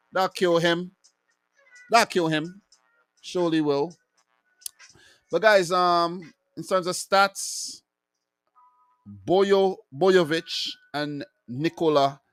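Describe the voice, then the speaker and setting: A man's voice: on the high side, a bit bright, no room sound. A man hosting a football live stream, talking in a loose, joking way with frequent restarts. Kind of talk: casual live-stream chat.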